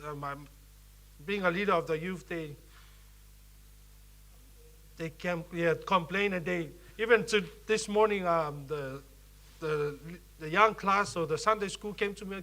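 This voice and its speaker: A man with a Samoan accent, a youth leader preaching a sermon who also reads scripture, often pausing and restarting as he searches for English words. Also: on the high side, bright, forceful tone. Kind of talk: bilingual sermon with scripture reading.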